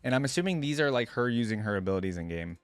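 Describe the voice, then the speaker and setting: A man's voice: mid-range voice, lively intonation, racing, clean tone, dry recording, faint music behind. A young man hosting a reaction video, giving casual commentary and musing aloud in an informal way.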